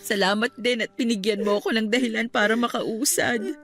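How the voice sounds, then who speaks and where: Reassuring, high-voiced young Filipina. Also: female voice, fairly even intonation, a little fast, a bit bright. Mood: gentle. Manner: reassuring, considerate. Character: calm, helpful young woman soothing an older mother. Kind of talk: Filipino radio drama acting. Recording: music bed under the voice.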